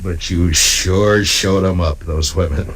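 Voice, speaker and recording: Old radio western marshal, american, low gruff voice, slow n understated, tough but tender, bit of noise on it. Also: male voice, fairly bright tone. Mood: tender, admiring. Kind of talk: 1950s radio western acting. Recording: noisy old radio recording.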